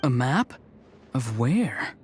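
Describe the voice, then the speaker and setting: A man's voice: medium pitch, big pitch swings, very slow, slight background noise. A man playing a capable, sensible young villager in a video game, with a casual, level-headed and lightly teasing way of talking. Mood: sceptical, relaxed.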